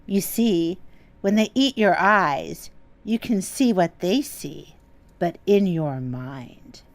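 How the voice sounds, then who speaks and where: A woman's voice: fairly low pitch, lively intonation. A woman playing an eerie, gloating avenger from beyond the grave in a radio horror drama, taunting her victim. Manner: taunting, slow delivery.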